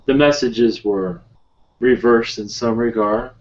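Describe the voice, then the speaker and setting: An ancient otherworldly guide channeled by a man speaks slowly and solemnly in broken, measured phrases. The delivery is calm and halting, and the sound is dull and lo-fi, like a web call. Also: mid-range voice, lightly acted. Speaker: channeler leading a webinar session. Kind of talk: slow improvised channeled speech. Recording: muffled lo-fi webinar call audio.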